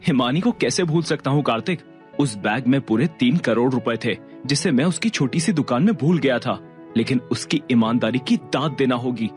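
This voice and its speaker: An actor playing a self-assured, calmly defiant husband in an audio drama. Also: male voice, medium pitch, moderate pace, faint music behind, natural voice. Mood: warm, reflective. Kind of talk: Hindi audio drama acting.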